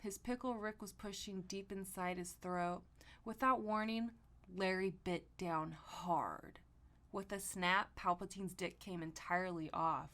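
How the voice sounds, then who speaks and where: Expressive woman reading fan fiction aloud. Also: medium pitch, a little slow. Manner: expressive, foul-mouthed. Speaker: podcast host.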